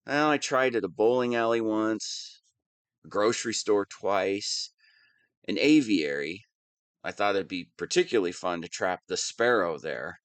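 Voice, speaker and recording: Man acting a whiny wannabe super-villain, swinging pitch. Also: lively intonation, measured pace, clean tone, no echo. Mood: earnest, rueful. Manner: whiny, self-pitying, dramatic swings. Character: whiny, hapless, soft-hearted male wannabe super-villain. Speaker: audio roleplay voice actor. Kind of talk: scripted one-sided audio roleplay monologue.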